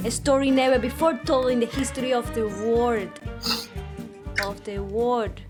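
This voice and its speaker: A woman's voice: a little high, fairly even intonation, measured pace. A woman with a Cuban accent narrating a comic movie trailer in a mock-grand announcer style. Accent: Cuban Spanish. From Cuba. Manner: mock-grand, over-the-top announcer delivery. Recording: music bed under the voice.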